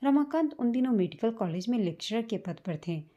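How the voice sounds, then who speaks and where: A woman reading a scripted, sentimental family story as a storyteller, in a measured manner. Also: a little high, expressive, mellow, lo-fi sound. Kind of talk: scripted emotional story narration in Hindi.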